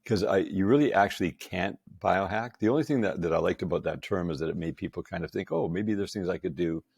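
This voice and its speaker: A man's voice: deep voice, fast, gravelly, darkish tone, breathy edge, dry recording, studio quality. A man in his sixties, a podcast guest, talking with enthusiasm and frequent emphasis. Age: 60s. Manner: enthusiastic, emphatic, laughs often. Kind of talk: casual chat.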